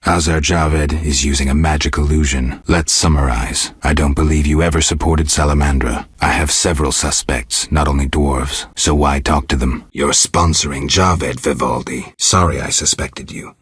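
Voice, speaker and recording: An actor playing a gruff, cynical mutant monster hunter in a video game, a gravelly, husky voice and a terse, deadpan delivery. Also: male voice, rumbling low, normal speed, hardly any pauses, no echo, clean studio sound, full character voice. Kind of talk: video game character dialogue.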